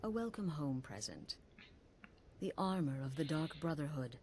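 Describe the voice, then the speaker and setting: A low, whispery assassin leader. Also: female voice, expressive, moderate pace, slight background noise. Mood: smooth, welcoming. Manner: soft, intimate, dropping to whispers. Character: cool-headed woman leading an assassin guild. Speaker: guild leader in a video game.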